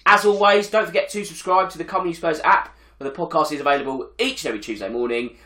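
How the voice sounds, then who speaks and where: A man hosting a football podcast, hoarse from a chest infection, talking in an upbeat, chatty way. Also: fairly high pitch, fast, pushed and bright, roomy sound. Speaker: podcast host. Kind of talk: casual football podcast chat.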